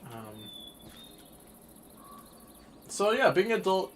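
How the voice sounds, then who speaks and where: A twenty-year-old man streaming a game and chatting casually, rambling through his thoughts with sudden bursts of excitement. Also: high-pitched, drawn-out words, a little hiss or hum. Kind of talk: casual chat while livestreaming a game.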